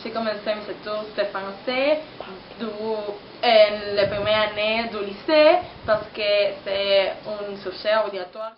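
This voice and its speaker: A woman's voice: mid-range voice, slow. An eighteen-year-old woman from Argentina, a Spanish speaker, giving a prepared account as a student of French. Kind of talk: prepared personal testimony in French as a learner. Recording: muffled echoey recording, faint noise.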